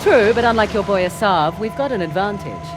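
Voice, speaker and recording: A woman's voice: mid-range voice, animated, brisk, over music, close to an everyday voice. A woman playing a brash, wry treasure hunter in a video game, with flippant, teasing banter. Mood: playful. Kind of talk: naturalistic video game voice acting.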